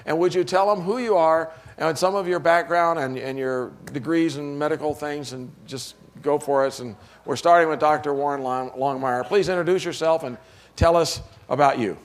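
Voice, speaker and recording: Man, host of a company meeting, jokey, coaxing, slightly high voice. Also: steady tone, moderate pace. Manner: joking, coaxing the audience. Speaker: company presenter. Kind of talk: sales meeting talk.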